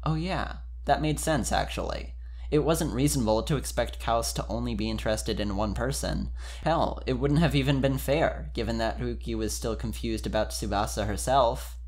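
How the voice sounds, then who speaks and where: A man's voice: medium pitch, normal speed, darkish tone. A man hosting a dramatic fan fiction reading, with casual commentary. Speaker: host and fan fiction reader.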